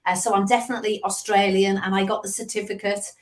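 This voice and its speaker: A woman's voice: medium pitch, quick pace. A woman in her fifties from England with a Liverpool accent, a sales coach telling stories as a guest on a video call interview. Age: 50s. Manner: chatty storyteller, lively asides. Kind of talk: casual interview chat.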